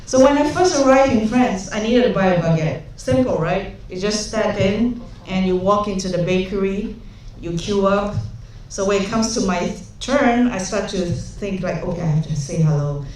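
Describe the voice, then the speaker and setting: A woman's voice: mid-range voice, moderate pace, darkish tone, slight background noise. Woman from Singapore, young adult, humorous conference speaker; distant, roomy hall sound. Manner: humorous, chatty storytelling with many fillers. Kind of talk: conference talk with anecdotes. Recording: echoey hall, speaker far from mic.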